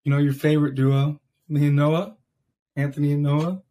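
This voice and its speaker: A raspy-voiced young man hosting a casual podcast, chatting loosely with many fillers. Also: fairly high pitch, fairly even intonation, moderate pace, mellow. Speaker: podcast host. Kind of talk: casual chat.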